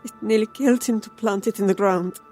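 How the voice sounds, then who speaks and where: Woman playing a soft, grieving farm mother. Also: medium pitch, fairly quick, faint music behind, close to an everyday voice. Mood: grieving disbelief. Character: grieving, bewildered farm mother, immigrant wife in the Dust Bowl. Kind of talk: scripted audio drama acting.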